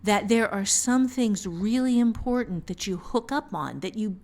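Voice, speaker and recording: A woman co-hosting a podcast, giving casual writing advice in a deliberate, explanatory way with emphatic pauses. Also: mid-range voice, a little mellow. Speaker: podcast co-host.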